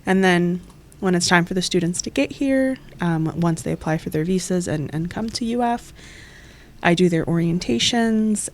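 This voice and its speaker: A woman's voice: lowish voice, darkish tone. A young woman from Florida, an exchange coordinator, explaining her job in friendly detail in an interview. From Florida, USA. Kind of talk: interview answers.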